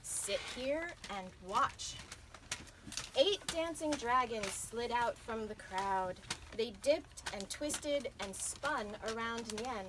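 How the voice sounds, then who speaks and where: A woman's voice: fairly high pitch, animated, slow pace. A woman reading a picture book aloud to children at a live event, dramatically stretching out key words. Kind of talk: animated picture-book storytelling to an audience. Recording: noisy live reading with audience sounds.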